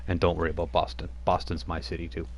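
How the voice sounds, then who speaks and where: A man's voice: sits low, monotone, fast talker, slight background noise. A man voicing an ancient, centuries-old woman vampire in a tabletop game, speaking with brooding contempt. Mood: solemn.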